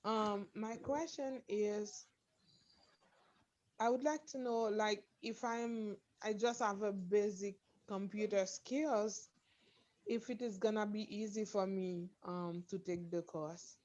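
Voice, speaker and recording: A woman's voice: medium pitch, fairly even intonation, slow, a little mellow. A woman from Haiti whose first language is French, asking careful, hesitant questions about joining a course. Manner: hesitant, careful speech with "um" and restarts. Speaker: prospective student asking questions. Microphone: video call. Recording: dull lo-fi call audio.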